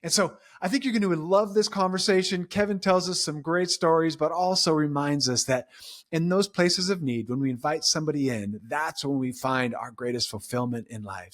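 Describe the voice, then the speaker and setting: A man hosting a faith podcast, introducing the episode and guest in an upbeat, encouraging way. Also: on the high side. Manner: upbeat and encouraging, rapid bursts. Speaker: podcast host.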